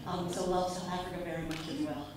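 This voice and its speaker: A woman's voice: lowish voice, steady tone, quick pace, noisy background. American woman speaking in an echoey function room. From United States. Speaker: guest speaker. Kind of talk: impromptu speech to a gathering. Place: function room at a breakfast event. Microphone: handheld mic, far camera. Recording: echoey room, far from the camera.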